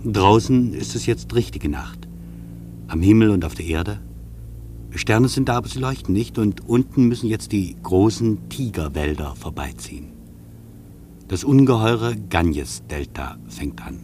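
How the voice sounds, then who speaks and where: Pensive, low-voiced traveller, humming background. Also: male voice. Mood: pensive, watchful. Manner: reflective, measured, terse phrases. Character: observant male air traveller recording his impressions. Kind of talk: literary German radio play monologue. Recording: steady engine-like hum in the background.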